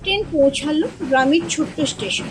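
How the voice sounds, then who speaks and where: A woman narrating a scripted horror story in a dramatic, measured storytelling manner. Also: sits high, noisy background.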